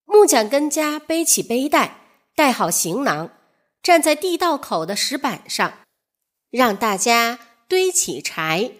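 A woman reads a children's fairy tale as a storyteller. Her voice is fairly high and very lively in pitch, and she takes her time, narrating with measured expression.

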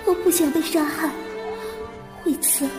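Haunted young woman, high and echoing. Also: fairly even intonation, a little slow. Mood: brooding, uneasy. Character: haunted, anxious young woman recalling childhood trauma. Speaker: voice actor and narrator. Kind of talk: first-person radio drama narration and dialogue. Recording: reverberant echo with music underneath. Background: music.

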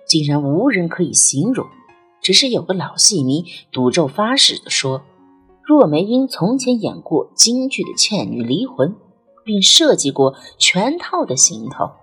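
Lady reading a ghost novel, really breathy voice, kinda low, lots of up and down, dramatic. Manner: expressive, literary delivery. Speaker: audiobook narrator. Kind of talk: scripted dramatic storytelling, ghost romance novel.

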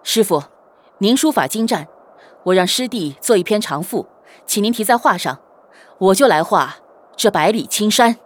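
A woman playing a courteous, resolute young gentleman painter in a Chinese period audio drama. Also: mid-range voice, animated, normal speed, close to an everyday voice. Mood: respectful, eager. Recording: music behind the voice.

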